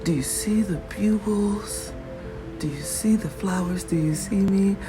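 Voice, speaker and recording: A raspy woman vlogging casually about her own work, admiring it out loud and laughing often. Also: medium pitch, a little slow. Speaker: fashion designer vlogger. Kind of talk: casual show-and-tell vlog. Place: workshop in Arizona. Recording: music playing behind the voice.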